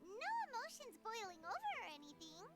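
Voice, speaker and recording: A little floating fairy companion in a video game. The voice is squeaky and childlike, extremely high and bouncing in pitch. Prominent music plays behind it. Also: female voice, very animated, brisk. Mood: curious. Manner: squeaky, childlike, talks in third person.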